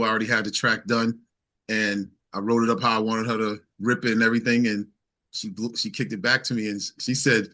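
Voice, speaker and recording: A man's voice: mid-range voice, a bit bright. A man speaking as an interview guest and singer-songwriter, telling stories in casual, informal, easygoing chat.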